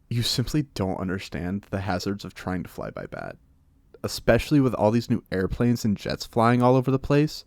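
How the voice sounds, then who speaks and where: A man acts out a playful British vampire for an ASMR roleplay. His voice sits fairly low with a gravelly fry, recorded dry and close. He is genteel and theatrical, yet chatty and full of wry jokes. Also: a little mellow, no room sound. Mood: playful, hospitable. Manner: theatrical, genteel, jokey, conversational. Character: playful, chatty 127-year-old male vampire being interviewed.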